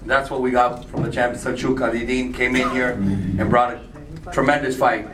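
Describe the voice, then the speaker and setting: Flat-voiced man at a press conference. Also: mid-range voice, moderate pace. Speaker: boxer. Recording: echoey hall, distant mic, clear noise.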